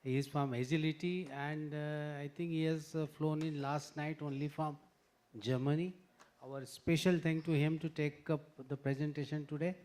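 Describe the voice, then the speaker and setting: A man's voice: fairly high pitch, steady tone, moderate pace, darkish tone. A man moderating a conference session, formally introducing a speaker. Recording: noisy conference room pickup, clicks.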